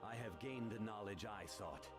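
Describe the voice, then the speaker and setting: A man's voice: on the low side, normal speed, natural voice. A man voicing a proud, earnest young warrior in a video game, speaking in a solemn, declamatory way. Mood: resolute. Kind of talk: dramatic video game voice acting. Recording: loud game music over the voice.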